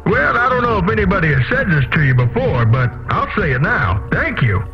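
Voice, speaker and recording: An actor playing a gruff, folksy wasteland outcast in a video game, blunt and rough in grammar, on slightly dull audio. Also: male voice, high voice, sing-song, fairly quick, music in the background. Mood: folksy, earnest. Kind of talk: character acting in a video game.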